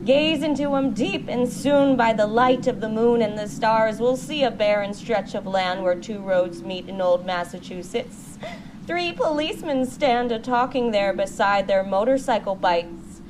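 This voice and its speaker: A woman playing a gleefully sinister, cackling witch over a hundred years old, telling a radio horror tale in drawn-out, folksy archaic speech. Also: a little high, background noise, close to an everyday voice. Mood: eerie, inviting.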